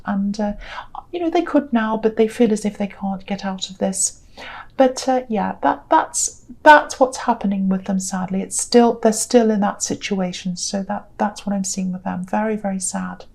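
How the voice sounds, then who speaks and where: Tired, croaky woman giving a tarot reading. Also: mid-range voice. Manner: gentle, rambling, frequent "you know" and "um". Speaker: tarot reader. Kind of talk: casual tarot reading monologue.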